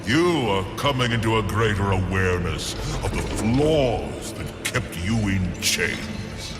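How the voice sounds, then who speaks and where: A deep, cryptic underworld lord. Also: male voice, big pitch swings, full character voice. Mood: knowing, solemn. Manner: slow, deliberate, eloquent. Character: ancient, cryptic underworld lord, coolly amused. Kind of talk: dramatic video game acting. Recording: long echoing reverb with loud music behind.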